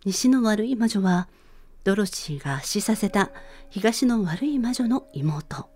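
A woman's voice: mid-range voice, expressive, measured pace, darkish tone, soft background music. A woman host narrating a scripted story synopsis in radio-drama style, phrase by phrase with expression.

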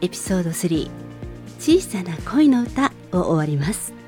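A woman hosting a radio show, making scripted announcements in a polite, clearly phrased way. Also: lowish voice, sing-song, unhurried, a little mellow, soft background music.

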